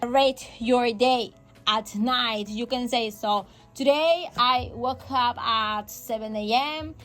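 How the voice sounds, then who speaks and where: A woman with Spanish as her first language hosts an English-learning podcast, talking slowly and warmly repeating her key tips. Her voice sits slightly high. Faint music plays behind her. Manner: encouraging, repeats key phrases, non-native grammar slips. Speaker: English teacher and podcast host. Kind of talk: casual podcast lesson.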